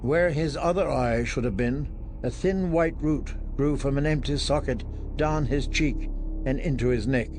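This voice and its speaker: A man narrating fantasy prose in a dramatic audiobook style, with measured, deliberate delivery. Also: medium pitch, a little mellow, a little hiss or hum.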